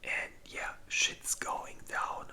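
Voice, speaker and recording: Slow, whispered ASMR host. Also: bright, forceful tone. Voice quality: breathy unvoiced whisper. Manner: informal, rambling, swears casually. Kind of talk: whispered ASMR, casual ranking commentary.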